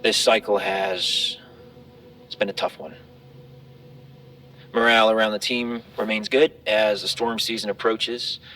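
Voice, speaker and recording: A man playing a stressed, weary team leader who haltingly records work logs in a video game, acted naturalistically. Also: on the low side, bright, forceful tone. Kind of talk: naturalistic video game acting, log entries. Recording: distant mic with faint noise.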